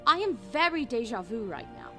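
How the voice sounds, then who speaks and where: A woman voicing a small, naive, excitable skeleton boy in a cartoonish comic dub, childlike and eager. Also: a little high, a little fast. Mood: puzzled. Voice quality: childlike, piercing. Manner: eager, exclaiming. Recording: music behind the voice.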